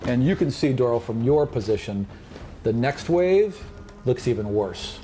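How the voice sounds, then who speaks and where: A man's voice: mid-range voice, expressive, measured pace, dark tone, a little hiss or hum. A man improvising narration as game master of a tabletop fantasy game, with vivid, dramatic delivery. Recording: music behind the voice.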